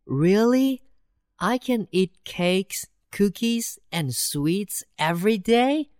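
A woman voicing a simple, cheerful country mouse in a children's storybook reading, slow and wide-eyed. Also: medium pitch, expressive, darkish tone, no room sound, clean studio sound. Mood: amazed.

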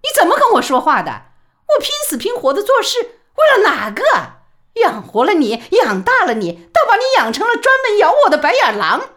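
A woman playing a shrill, furious mother. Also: wildly swooping pitch, normal speed. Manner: shrill, scolding, hot-tempered.

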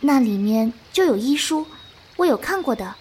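A woman playing a gentle, patient young martial arts disciple in a Chinese period audio drama, soft-spoken and earnest. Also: sits high, lively intonation, normal speed, a little hiss or hum. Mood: calm, concerned.

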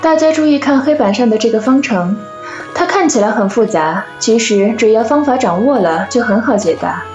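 A woman's voice: on the high side, lively intonation, normal speed, music in the background, lightly acted. A woman playing a didactic maths teacher in an audio drama, in roomy, dull sound. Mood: instructive. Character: instructive schoolteacher giving a maths lesson. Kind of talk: Chinese audio drama acting.

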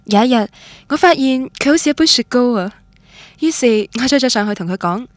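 A woman's voice: fairly high pitch, lively intonation, fairly quick, no echo, natural voice. An actress with a Cantonese accent playing a romantic, stubborn young woman who tells her love story in a radio drama. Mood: wistful. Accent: Cantonese, Guangdong. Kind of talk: radio drama narration and dialogue, introspective first-person.

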